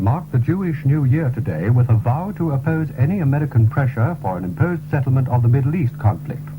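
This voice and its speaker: A male newsreader delivers a formal radio bulletin in a measured way, at an ordinary male pitch. The sound is thin and narrow, like an old 1970s broadcast heard through a small radio. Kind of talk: formal scripted news bulletin.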